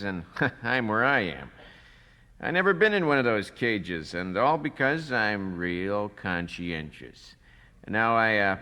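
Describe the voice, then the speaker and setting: An actor playing a menacing, suspicious criminal boss in a radio drama, deliberate and smugly threatening. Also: male voice, mid-range voice, pushed and bright. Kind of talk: 1970s radio drama acting. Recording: reverberant 1970s radio drama recording.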